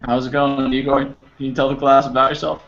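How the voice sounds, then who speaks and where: A man teaching an English class over a video call, patient and encouraging, explaining slowly with examples. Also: mid-range voice, flat delivery, fairly bright tone. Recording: slightly dull audio with faint noise.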